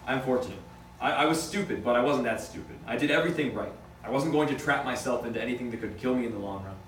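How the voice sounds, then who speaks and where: A man playing a wry, rattled young rich businessman in a table read, with a halting, matter-of-fact delivery. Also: mid-range voice, fairly bright tone, natural voice. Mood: reflective. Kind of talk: amateur script table read. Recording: echoey room, distant mic, faint noise.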